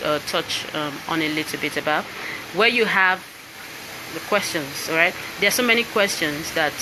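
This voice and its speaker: A woman's voice: low voice, normal speed, noisy background. Nigerian woman chatting on a live stream. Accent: Nigerian English. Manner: chatty, keeps checking in with "all right?" and "you understand?". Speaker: singer and voice coach presenting her book. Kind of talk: live-stream talk to viewers. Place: indoors with piano and laptop.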